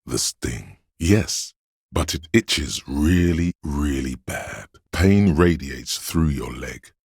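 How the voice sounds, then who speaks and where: A man voicing a grim, goading inner voice of pain and endurance in a video game, narrating with slow, weighty, intense delivery and a husky, gravelly tone. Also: very deep voice, expressive, darkish tone, no room sound, studio quality, full character voice. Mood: grim, brooding.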